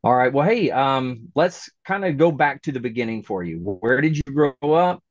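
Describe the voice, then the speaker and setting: A man's voice: mid-range voice, moderate pace, no echo, muffled recording. A man in his forties hosting a podcast interview over a video call, upbeat and chatty. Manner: upbeat, chatty, animated when promoting. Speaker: podcast host. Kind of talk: casual interview. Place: indoor room with a virtual backdrop. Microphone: webcam video call.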